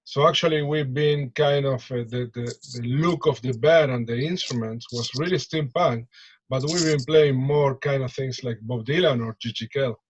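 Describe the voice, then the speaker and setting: A man with an Argentine Spanish accent talking casually in an interview, repeating words in halting non-native English. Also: mid-range voice, dull audio, background noise. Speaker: musician, percussionist and drummer interviewed.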